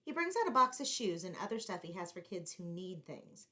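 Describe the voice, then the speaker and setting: A woman reading a picture book aloud to children in an expressive, deliberately phrased storytelling style, like a teacher. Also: on the low side.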